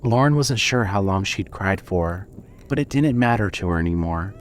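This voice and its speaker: A man narrating a scripted dramatic story in a measured, solemn manner. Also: deep voice, animated, a little hiss or hum.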